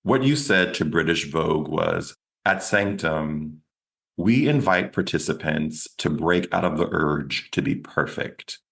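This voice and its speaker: Low gravelly guy hosting a podcast, slow careful intro reading. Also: expressive, fairly bright tone. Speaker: podcast host. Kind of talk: scripted intro then interview questions.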